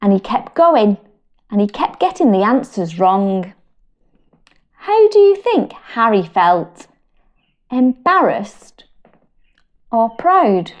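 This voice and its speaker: A British woman reading a picture book aloud to children in a gentle, expressive way, rising high on her questions. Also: highs cut off. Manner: slow, gentle and expressive, with big pitch lifts on questions. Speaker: storyteller and wellbeing educator.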